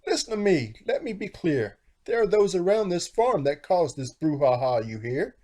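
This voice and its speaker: Man voicing a fretful storybook mother hen, mellow. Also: high-pitched, very animated, pitched above the performer's own voice. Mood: scolding. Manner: dramatic, emphatic, deliberate rhyming warnings. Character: fretful, protective mother hen, scolding and warning.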